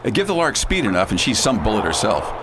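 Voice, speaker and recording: A man's voice: sing-song, fast talker. A man playing a confident, folksy space captain in a radio play, slangy and emphatic. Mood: urgent, confident. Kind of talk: amateur radio theater acting. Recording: noisy, constant rumble behind.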